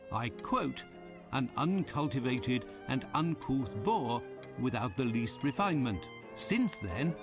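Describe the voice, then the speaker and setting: Pompous, animated gentleman over music. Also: on the high side. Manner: pompous, verbose, theatrical. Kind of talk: video game voice acting. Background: game music.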